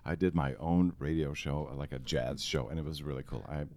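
A man's voice: a bass voice, a little fast, darkish tone, no room sound. A middle-aged man talking as a podcast guest in casual chat, projecting strongly and laughing often.

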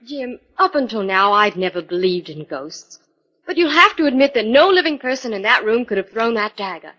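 An actress playing a curious, quick-witted young woman who assists a sleuth, in 1940s radio style. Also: fairly high pitch, very animated, sharp tone, full character voice. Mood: curious, puzzled. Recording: dull 1940s radio recording.

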